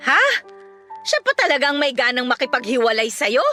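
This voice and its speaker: A woman playing a sharp-tongued, bossy, gossipy aunt in a Filipino radio drama, exclaiming bluntly with a Filipino accent. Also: high voice, lively intonation, moderate pace, soft background music. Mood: indignant.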